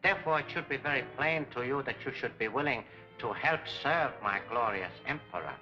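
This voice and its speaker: An actor playing a suave, menacing Japanese mastermind in a 1940s film serial, speaking formally with cold, pompous courtesy. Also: male voice, medium pitch, animated, brisk, natural voice. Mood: grandly menacing. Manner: formal, grandiose, coolly courteous. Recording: dull 1940s film serial soundtrack, music.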